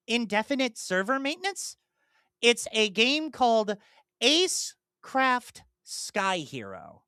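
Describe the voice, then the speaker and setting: A man co-hosting a casual podcast, rambling with lots of fillers and restarts, slipping into animated, mock-exasperated asides. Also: high voice, clear, resonant tone, dry recording, clean studio sound. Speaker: podcast co-host and streamer.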